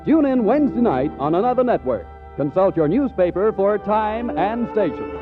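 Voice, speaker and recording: A man announcing in scripted 1940s radio style with a polished sales pitch. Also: sing-song, normal speed. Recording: tinny 1940s radio broadcast, faint music.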